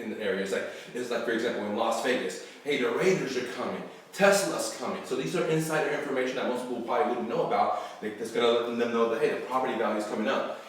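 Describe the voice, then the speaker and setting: Iranian-born investor, middle pitch, loose off-the-cuff teaching, distant mic, heavy room echo, faint background hiss. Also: male voice. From Iran. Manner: loose and rambling, often checking in with "okay?", casual swearing. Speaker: real estate investor and channel host. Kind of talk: casual instructional talk to camera. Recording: very echoey room, mic far off.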